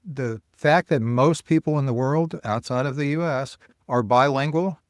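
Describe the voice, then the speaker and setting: A man's voice: medium pitch, normal speed, no echo, studio quality. An American man hosting a podcast interview, responding thoughtfully and building on his guest's points. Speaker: podcast host. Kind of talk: casual interview chat.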